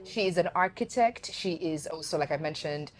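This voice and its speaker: A woman from Uganda hosting a casual interview, lively and laughing, calling her viewers family. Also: low-pitched, moderate pace. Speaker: host.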